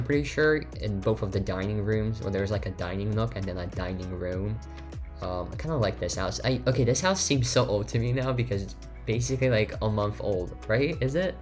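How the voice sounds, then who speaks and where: A teenage boy whose first language is Lithuanian talks in a fairly low voice, chatting loosely over game footage. He rambles and restarts often, leaning on fillers like "um" and "like". Faint music plays quietly under his home-recorded voiceover. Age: teens. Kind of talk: casual voiceover chat over gameplay. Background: faint background music.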